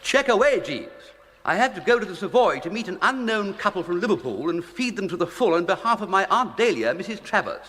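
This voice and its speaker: An actor playing a flippant, idle young English gentleman with a breezy, plummy, upper-class accent and exclamatory period slang, in a British radio comedy. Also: sits high, very animated, brisk. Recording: long echoing room reverb.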